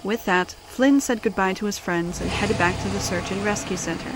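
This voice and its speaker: A woman's voice: mid-range voice, normal speed, noisy background. A woman giving scripted story narration as the narrator of an audio drama.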